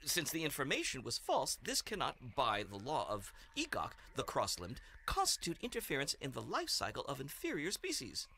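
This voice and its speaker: A man's voice: medium pitch, huge pitch swings, normal speed, few pauses, bright, forceful tone, slight background noise, full character voice. A man playing a scheming alien underling in a comedic sci-fi audio drama, pompous, obsequious and grandiloquent. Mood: smug, pleading. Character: scheming flattering alien underling reporting to his ruler.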